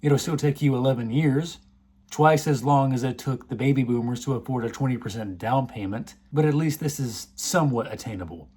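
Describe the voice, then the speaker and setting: A man's voice: mid-range voice, brisk, darkish tone, breathy edge. A man delivering a scripted, earnest commentary, indignant about the issue he is laying out. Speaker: video essay host.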